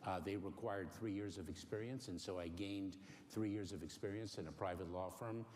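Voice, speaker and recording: Deep-voiced man, halting careful answers. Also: darkish tone. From Cuba. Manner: careful, halting, many ums and pauses. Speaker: interview guest. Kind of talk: measured interview answers. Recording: faint noise in a long-reverb room.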